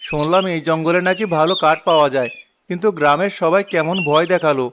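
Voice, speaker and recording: A man's voice: fairly high pitch, fairly even intonation, quick pace, noisy background, lightly acted. A man playing a young newcomer woodcutter in a cartoon, polite, inquisitive and sceptical. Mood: curious.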